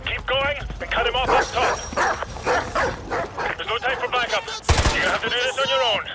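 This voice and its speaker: A man's voice: very high-pitched, moderate pace. A man playing an urgent, commanding soldier barking terse orders in a combat video game. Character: commanding, urgent male soldier directing a chase. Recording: very noisy, with gunfire.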